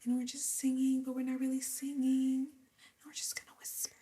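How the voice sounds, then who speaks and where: A woman's voice: sits high, completely flat delivery, moderate pace, warm tone, slight background noise. A young adult woman singer, a guest in an interview, talking casually and with animation, laughing often and sometimes dropping to a whisper.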